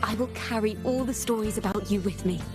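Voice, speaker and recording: A woman acting a spirited, loyal young wandering bard in a video game cutscene, expressive like a storyteller. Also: mid-range voice, a little fast, close to an everyday voice. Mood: earnest. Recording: loud music behind. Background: music.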